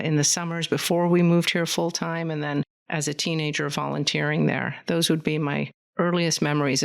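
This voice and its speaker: Gravelly-voiced woman guest, earnest. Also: sits low. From Central Valley, California. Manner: fluent, earnest, rambling storyteller. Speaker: podcast guest, community foundation leader. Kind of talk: conversational interview. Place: podcast studio.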